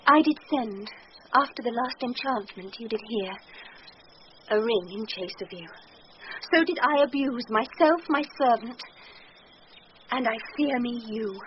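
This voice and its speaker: A dull-sounding radio broadcast with faint noise. A British woman plays a proud noblewoman with a very high voice, stately but ardently in love. She speaks Shakespearean verse. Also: unhurried, pauses often, natural voice. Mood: ardent, ashamed. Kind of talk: Shakespearean radio drama acting.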